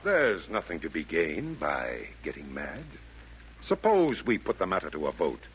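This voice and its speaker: An actor playing a wry, pompous Devil chairing a meeting, in theatrical 1940s radio comedy style. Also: male voice, extremely low pitch, wildly swooping pitch, measured pace, a little hiss or hum, exaggerated voice. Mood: commanding. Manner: theatrical, formal rhyming speech with mock hesitation. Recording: old 1940s radio broadcast, slightly muffled.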